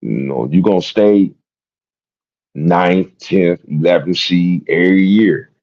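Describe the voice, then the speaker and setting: A man's voice: sits low, takes it slowly, a little mellow, lo-fi sound. A man hosting a sports talk show, rambling and repeating himself in casual, profane commentary. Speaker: sports podcast host.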